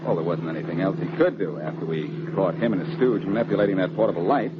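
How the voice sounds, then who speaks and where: An actor playing a cocky, teasing amateur detective in 1940s radio style. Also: male voice, low-pitched, fast talker, natural voice. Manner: breezy, teasing. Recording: muffled, very noisy old radio recording.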